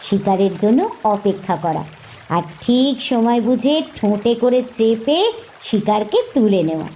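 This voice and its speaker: A woman's voice: medium pitch, moderate pace, warm tone, slight background noise, lightly acted. A woman voicing a mother duck in a cartoon, teaching her young in a firm, instructive way. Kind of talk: cartoon voice acting.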